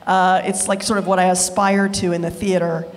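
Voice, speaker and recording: A woman's voice: on the low side, little pitch movement, brisk. A woman who works as a theater director, sharing in a group discussion with an eager, rambling, self-correcting manner. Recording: long hall reverb.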